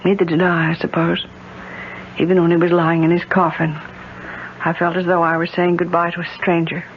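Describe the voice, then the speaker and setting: An American actress playing a proud, bitter, rich middle-aged widow in a radio drama, speaking with precise, sardonic theatricality. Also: female voice, on the low side, monotone, brisk, natural voice. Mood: bitter, weary. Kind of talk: 1970s radio drama acting. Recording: dull, noisy 1970s radio recording.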